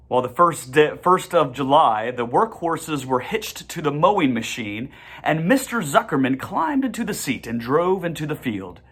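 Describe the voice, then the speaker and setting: A reader narrating a children's storybook aloud in measured, deliberate phrases with the occasional stumble. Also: mid-range voice, fairly bright tone, breathy edge.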